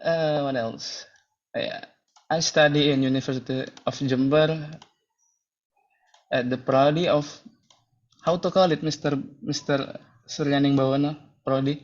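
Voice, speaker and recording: A young man from Indonesia, a university student speaking in an online debate, slow and searching for words. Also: a little high, steady tone. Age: 20s. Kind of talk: casual debate contribution. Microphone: video call. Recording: dull lo-fi call audio.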